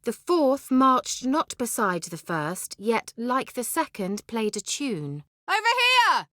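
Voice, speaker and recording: An actress playing a self-assured, coy and haughty young sorceress in a video game, with articulate, teasing, theatrical delivery. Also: female voice, a little high, sing-song, takes it slowly, sharp tone, no room sound, clean studio sound, full character voice. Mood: slow, reciting, then urgent, calling out. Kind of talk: video game character acting.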